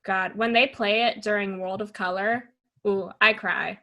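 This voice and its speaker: A young woman co-hosts a casual podcast and talks with friends in an unhurried way. Her voice is bright and forward. She laughs often and leans on fillers like "like" and "um.". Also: medium pitch, steady tone. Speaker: podcast co-host. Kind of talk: casual chat.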